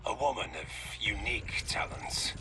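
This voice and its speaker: An actor playing a ruthless, scheming research boss, clipped and theatrical in a dramatic video game cutscene. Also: male voice, fairly low pitch, animated, normal speed, bright, forceful tone, in a whisper. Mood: cold menace.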